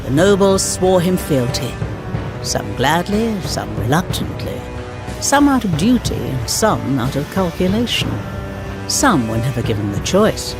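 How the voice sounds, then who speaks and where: A woman narrating history for a game cutscene in a theatrical, measured storytelling manner. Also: deep voice, vocal fry. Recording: music behind the narration.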